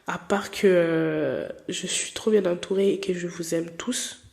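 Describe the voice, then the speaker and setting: A woman's voice: lowish voice, fairly even intonation, a little slow, creaky, a little mellow. A woman in her twenties, a non-native French speaker, hosting her podcast in a candid, rambling chat full of fillers and restarts. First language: not French. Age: 20s. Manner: candid and playful. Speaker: podcast host. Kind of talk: casual personal chat.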